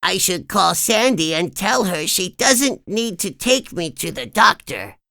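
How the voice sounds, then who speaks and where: Woman doing a squeaky sniffly sea sponge boy. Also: very animated, a little slow, bright, forceful tone, no room sound, heavily acted. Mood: sick, pleading. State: sniffly with a cold. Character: cheerful young male sea sponge, eager. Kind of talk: storybook read-aloud.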